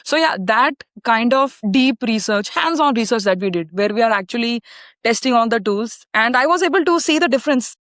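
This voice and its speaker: A woman with an Indian English accent hosts a marketing podcast in a fairly high voice. She asks her questions in a measured, careful way and explains at length, often restarting her sentences. The sound is close and dry. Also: expressive, a bit bright, no room sound. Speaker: podcast host. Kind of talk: podcast interview.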